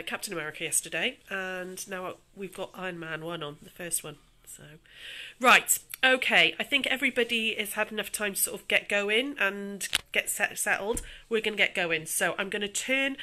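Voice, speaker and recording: Casting: middle-aged woman, bright tone, mildly snuffly, informal chatty craft-livestream host. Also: mid-range voice, normal speed. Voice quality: slightly snuffly nasal. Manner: chatty, greeting viewers by name with endearments. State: snuffly from allergies. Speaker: craft tutor hosting a livestream. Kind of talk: casual live chat to viewers. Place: dining room at home. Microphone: phone on livestream.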